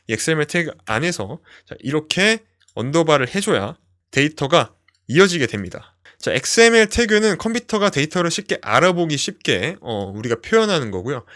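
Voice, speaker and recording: A man with a slightly high voice presents a tutorial. His intonation rises and falls in a very lively way as he walks through the material step by step, keeping the explanations simple. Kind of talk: instructional screen walkthrough, explanatory.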